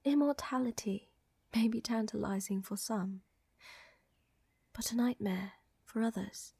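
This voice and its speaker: A woman acting an elegant, flirtatious and tender vampire countess in a roleplay ASMR, with soft, breathy, genteel delivery that often drops to a whisper. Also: fairly high pitch, unhurried, no room sound, full character voice. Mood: earnest, apologetic.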